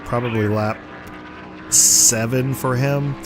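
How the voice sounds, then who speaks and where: A man with a hoarse, congested voice from the flu, giving casual play-by-play over a racing video game. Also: medium pitch, slow pace. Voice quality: rough, congested voice. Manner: excited play-by-play, rising sharply at crashes. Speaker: gaming commentator. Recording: game music and car noise underneath. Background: racing game engine noise and music.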